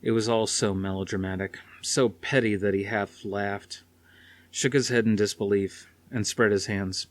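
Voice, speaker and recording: A man narrates dramatic fiction as an audiobook reader. His voice is on the low side, and the delivery is scripted storytelling. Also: steady tone, normal speed.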